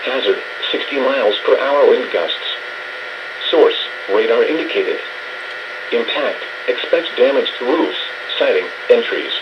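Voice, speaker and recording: Computer guy voice reading storm warnings, measured and flat, noisy muffled radio sound. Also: medium pitch. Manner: flat, measured synthesized delivery. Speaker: automated weather radio announcer. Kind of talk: scripted emergency weather warning bulletin.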